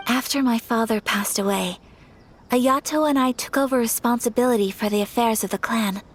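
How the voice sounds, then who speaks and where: A gentle, wistful young noblewoman. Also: on the high side, breathy edge. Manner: soft, measured, wistful. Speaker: noble daughter of a clan. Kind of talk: game voice acting.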